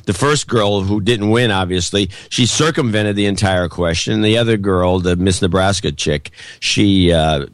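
A man with a cold, his voice gravelly and hoarse, pushing hard and almost shouting through casual opinionated chat as a podcast co-host. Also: deep voice, normal speed, few pauses, no echo. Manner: loud, pushing air, close to shouting.

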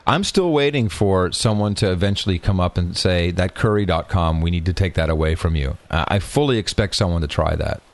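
A man with a somewhat low voice chats on a podcast, wandering through his thoughts with frequent hesitations and fillers. His voice sits close and dry on the mic. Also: brisk, hardly any pauses, dry recording. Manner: rambling, hesitant, full of fillers. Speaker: podcast co-host. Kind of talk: casual chat.

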